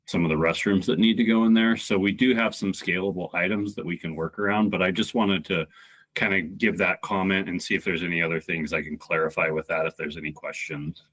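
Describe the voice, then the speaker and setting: A deep-voiced man lays out the details of a project for a commission. He speaks in a measured, explanatory way and often pauses on "um.". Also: fairly even intonation, a bit bright, breathy edge. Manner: explanatory, measured, with frequent fillers. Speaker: project applicant. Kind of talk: public comment at a meeting.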